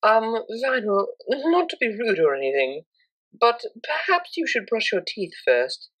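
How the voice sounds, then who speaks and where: A woman puts on a proper young mare cellist from a pony story, pitched up from plain narration. She is reserved and polite, choosing formal words with prim care. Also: sing-song, unhurried, fairly bright tone, exaggerated voice, rough recording. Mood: politely wry.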